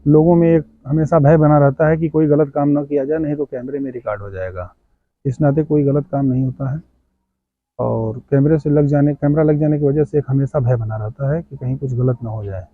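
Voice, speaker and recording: A man's voice: on the high side, fairly quick, no room sound, phone-quality sound. A man answering interview questions as a village head.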